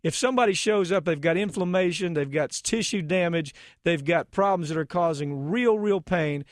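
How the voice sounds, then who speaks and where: A man's voice: on the high side, normal speed, no room sound, clean studio sound. An American man hosting a radio talk show, speaking emphatically and indignantly with rhetorical questions.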